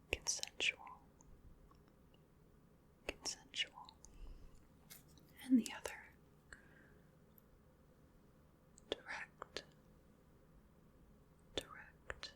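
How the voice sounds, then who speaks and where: A woman playing an ancient vampire in an ASMR roleplay, whispering breathily in a slow, genteel, soothing manner with quiet menace. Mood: clinical, gentle. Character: ancient female vampire, sinister yet coaxing and polite.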